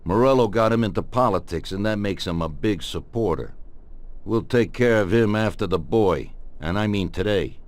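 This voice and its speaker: 남자 배우가 연기하는 낮은 목소리의 냉철한 마피아 두목. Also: male voice, on the low side, lightly acted. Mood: cold, resolute. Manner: deliberate, authoritative. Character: measured, commanding mafia don plotting coldly. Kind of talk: video game cutscene acting.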